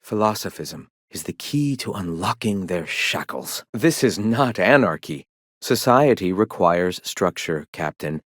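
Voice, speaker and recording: An actor playing a zealous, scholarly leader of a philosophical rebel movement in a video game, speaking in a lofty, formal, preachy way. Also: male voice, low voice, expressive, unhurried, no echo, clean studio sound. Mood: earnest, preaching. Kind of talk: video game character dialogue.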